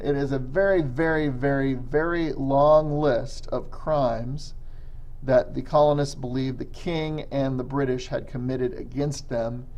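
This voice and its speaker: An American man, a middle school history teacher, explaining deliberately and emphatically in a recorded lesson for students. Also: medium pitch, a little mellow, poor audio.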